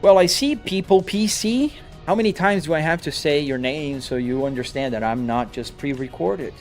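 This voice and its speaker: A man's voice: a little high, normal speed, faint music behind. Brazilian man chatting on a live stream. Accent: Brazilian Portuguese mixed with American. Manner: chatty, reads and answers viewer comments aloud. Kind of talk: casual live-stream chat while gaming.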